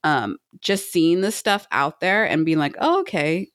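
A middle-aged woman co-hosting a podcast in casual conversation, explaining her thoughts earnestly in a rambling, halting way. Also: on the low side, expressive, no room sound, clean studio sound. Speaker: podcast co-host. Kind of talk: casual chat, reviewing a book.

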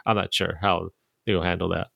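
A man's voice: sits low, little pitch movement, normal speed, vocal fry, dry recording, lo-fi sound. A man co-hosting a podcast, explaining things in a matter-of-fact, casual way. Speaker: podcast co-host. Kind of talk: casual chat.

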